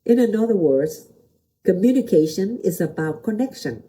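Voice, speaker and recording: A woman's voice: mid-range voice, animated, normal speed, dark tone, low-quality recording. A middle-aged woman from Vietnam with a Vietnamese accent gives a motivational talk in simple non-native English, using short repeated phrases and questions to the listener. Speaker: host, motivational teacher and pastor.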